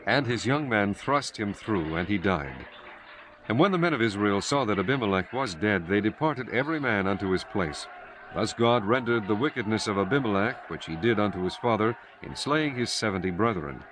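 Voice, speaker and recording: A man narrating a dramatized Bible audiobook in measured, solemn storytelling with archaic diction. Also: fairly low pitch, expressive, noisy background. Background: prominent music.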